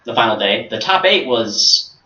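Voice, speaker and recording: A man's voice: mid-range voice, sing-song, moderate pace, sharp tone. A man co-hosting a casual podcast, steering the topics and asking questions. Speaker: podcast co-host. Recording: roomy, distant, dull mic.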